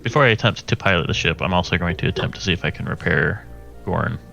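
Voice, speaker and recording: A man with a fairly low voice plays a tabletop roleplaying game, chatting casually in and out of character. The sound is somewhat dull and lo-fi, with faint music behind him. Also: fast, gravelly.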